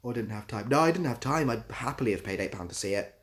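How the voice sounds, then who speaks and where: A man's voice: medium pitch, lively intonation, fast. A man hosting a casual livestream, answering viewer comments in an informal, rambling way and searching for his words. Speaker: ASMR creator hosting a livestream; theatre assistant producer.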